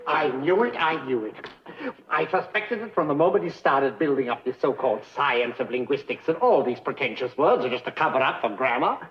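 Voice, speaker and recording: An actor playing a pompous, scheming Mad Hatter in a theatrical 1950s educational film style, with a husky voice. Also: male voice, medium pitch, animated, a little fast, lightly acted. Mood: scornful, scheming. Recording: dull vintage film soundtrack.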